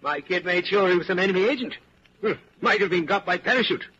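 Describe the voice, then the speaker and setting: A man's voice: sits high, fast, natural voice. A man acting in a 1940s radio drama as a hearty, dim, boastful middle-aged family man, chummy and blustering. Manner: chummy, blustering, hesitant hums. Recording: muffled, hissy 1940s radio recording.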